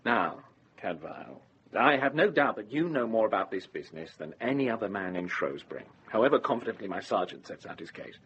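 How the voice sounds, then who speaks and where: Young deputy sheriff, easy and shrewd, very varied intonation, slightly dull radio sound. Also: male voice, fairly low pitch, sing-song, a little fast, a bit bright, a little hiss or hum. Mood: friendly, wry. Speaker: actor. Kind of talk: radio drama acting.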